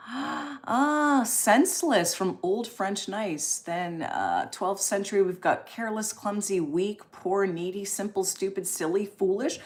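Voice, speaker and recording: A woman, hosting a casual live stream and chatting in an encouraging, expressive way as she reads and answers comments. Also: mid-range voice, takes it slowly.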